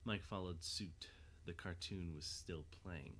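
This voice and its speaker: A man's voice: deep voice, fairly even intonation, measured pace, fairly bright tone. A man narrating a scripted audio drama as its storyteller.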